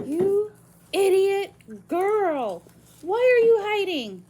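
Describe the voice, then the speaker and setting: A woman's voice: fairly high pitch, animated, measured pace, dark tone, slightly rough. A woman reading aloud and voicing a stern but caring woman guardian who speaks sharply and firmly, then soothingly. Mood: alarmed, scolding.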